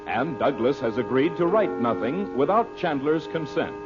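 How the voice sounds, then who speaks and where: A man's voice: medium pitch, expressive, moderate pace. A man announcing and narrating in a formal, declamatory vintage radio style, with polished, scripted delivery. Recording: muffled old radio broadcast recording. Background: music.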